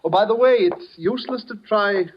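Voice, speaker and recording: A man's voice: sits high, fairly quick, slight background noise, natural voice. Suave male crime mastermind, played by an actor, silkily polite menace, 1940s radio suspense, muffled vintage broadcast sound. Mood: regretful menace. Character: suave, courteous, cunning male master criminal posing as director.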